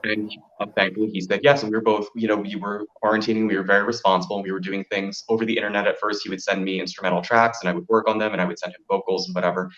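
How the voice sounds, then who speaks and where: A man with a deep voice telling stories casually, as an interview guest who performs in drag. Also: level tone, rapid-fire, few pauses, fairly bright tone. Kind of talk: casual interview chat, storytelling. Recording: dull, lo-fi audio.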